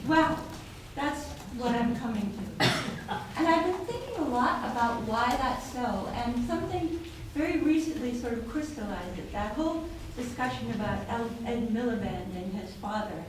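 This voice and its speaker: An American woman speaks from the floor of a seminar room, earnest and emphatic in her question. Her voice sits slightly high. She is far from the mic, the room is very echoey, and a clear noise runs under her. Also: expressive, moderate pace. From United States. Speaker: audience member. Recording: distant, very echoey, noisy room.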